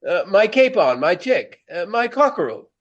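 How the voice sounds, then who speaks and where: Pompous old Regency dandy, highly animated. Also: male voice, moderate pace, highs cut off. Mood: eager, proud. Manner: pompous, florid, courtly. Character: vain, theatrical elderly Regency actor, courtly and boastful. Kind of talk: radio play acting.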